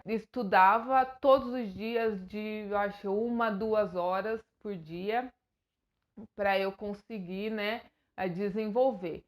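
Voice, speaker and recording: Earnest Brazilian woman vlogging. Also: medium pitch. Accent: Brazilian Portuguese. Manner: hesitant, many fillers and restarts; earnest, emotional. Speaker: YouTuber. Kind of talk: personal vlog to camera.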